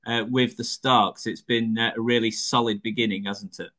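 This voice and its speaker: An English man interviewing an athlete, friendly and pausing often with "uh". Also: mid-range voice, fairly even intonation, bright, forceful tone. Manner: friendly, hesitant with many "uh" fillers. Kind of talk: interview questions.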